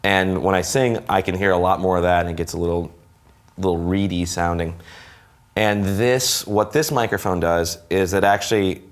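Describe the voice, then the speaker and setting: Deep-set male host, nasal tone, loose conversational delivery. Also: fairly even intonation, moderate pace. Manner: rambling, self-correcting, thinks aloud. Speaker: musician and show host. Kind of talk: casual studio conversation.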